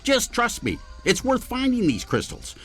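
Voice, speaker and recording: A man's voice: extremely high pitch, wildly swooping pitch, normal speed, a bit bright, husky, full character voice. A man voicing a grumpy, aging male superhero sidekick in a cartoon game, cranky and dismissive. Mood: cranky, persuasive.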